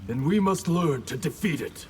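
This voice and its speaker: A man playing a stern, honorable ninja clan master in a video game, measured and commanding. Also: fairly high pitch, animated, darkish tone, slight background noise, close to an everyday voice. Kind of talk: video game character dialogue.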